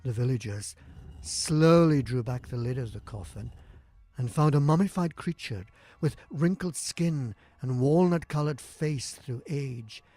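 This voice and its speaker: A man's voice: medium pitch, warm tone, breathy edge, no echo. A man narrating a scripted gothic horror tale in a theatrical, suspenseful storytelling manner with swelling emphasis. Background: music.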